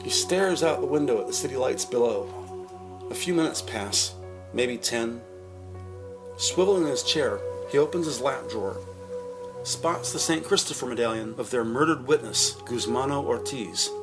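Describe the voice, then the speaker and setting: A man's voice: medium pitch, moderate pace, music in the background. A man narrating a scripted audio drama with dramatic, present-tense storytelling.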